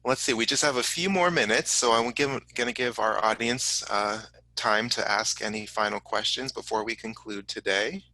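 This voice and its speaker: Gravelly, bright-voiced man hosting. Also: mid-range voice, dry recording, poor audio. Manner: halting, reading out audience questions. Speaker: host of a science museum online program. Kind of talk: casual live Q&A hosting.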